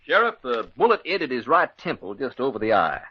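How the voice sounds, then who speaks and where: An actor playing a talkative small-town deputy in a 1940s radio drama. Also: male voice, medium pitch, sing-song, a little fast, very noisy, natural voice. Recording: muffled old radio broadcast.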